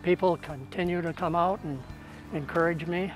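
A man in his eighties, a masters pole vaulter, speaking in a gentle, sincere way. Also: fairly high pitch, fairly even intonation, normal speed. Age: 80s. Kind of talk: documentary interview. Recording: interview under loud music.

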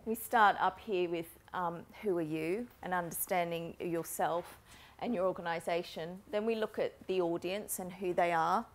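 Lower-voiced woman giving a talk. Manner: measured, explanatory, with frequent ums. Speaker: keynote speaker on marketing. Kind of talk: conference presentation. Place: lecture room with a projector screen. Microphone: lapel mic.

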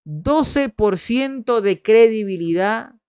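A young man talks in a fairly high voice through a headset in a small room. He runs a casual political livestream, reading poll numbers with sarcastic jabs and shouting out viewers. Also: animated, takes it slowly, clear, resonant tone.

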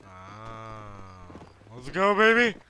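A young man giving crude, excitable commentary while playing a game. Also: lowish voice, drawn-out words, slight background noise. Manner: crude, excitable, laughs often. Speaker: gamer.

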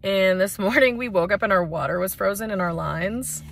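A woman's voice: mid-range voice, moderate pace, vocal fry, a little hiss or hum. A woman filming a casual travel vlog on her phone outdoors at a campsite, chatty and awed by the view. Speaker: travel vlogger. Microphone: handheld phone.

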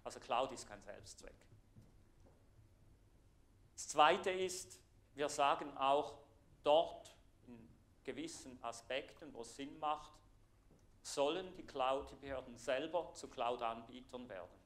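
A middle-aged man from Thurgau with a Swiss German accent, giving an earnest conference presentation in a lecture room with plenty of filler pauses. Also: mid-range voice, a bit bright. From Thurgau, Switzerland. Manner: earnest, explanatory, pausing with fillers. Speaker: IT architect giving a conference talk. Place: lecture room, standing before a projected slide.

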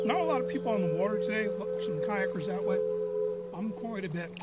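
A middle-aged American man talks casually to his phone camera out in the open. His voice sits a little higher than most men's. He is folksy and unhurried in attitude, full of slang, and music plays clearly behind him. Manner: folksy, laid-back, slangy. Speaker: outdoor adventure vlogger. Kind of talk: casual vlog chat. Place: outdoors on a riverbank in a rocky canyon. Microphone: handheld phone camera, sometimes set down a few feet away.

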